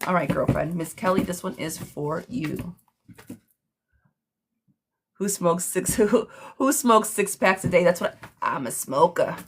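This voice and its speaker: A woman with a hoarse, smoky voice, doing a chatty live tarot reading while sick with a cough. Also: lowish voice, slight background noise. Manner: chatty, reassuring, calls listeners girlfriend. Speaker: tarot reader.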